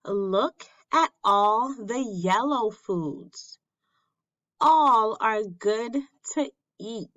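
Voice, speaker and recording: A woman reading a children's picture book aloud as a teacher, with simple, deliberate phrasing and questions for young listeners. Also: on the high side, animated, fairly bright tone, highs cut off.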